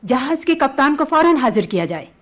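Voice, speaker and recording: A woman's voice: high-pitched, big pitch swings, a little fast. A woman playing a princess who rules in men's clothing, commanding yet tender, with formal courtly delivery in a radio play. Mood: commanding, stern. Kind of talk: Hindi radio play acting.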